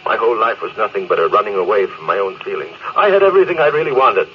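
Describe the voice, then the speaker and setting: A man's voice: on the low side, animated, fast, natural voice. Regretful male space captain played by an actor, resigned and philosophical, old-time radio drama, muffled with light hiss. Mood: bitter regret. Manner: wistful, confessional, philosophical. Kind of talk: mid-century radio drama acting.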